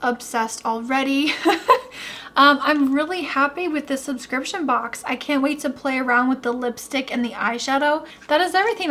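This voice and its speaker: A young woman in a beauty unboxing vlog, gushing and excited while she is losing her voice. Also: high-pitched, a little hiss or hum. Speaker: beauty vlogger.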